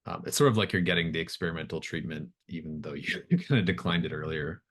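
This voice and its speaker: A man with a notably deep voice runs a tabletop roleplaying game. He narrates conversationally, improvising as he goes and pausing to think aloud. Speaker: game master.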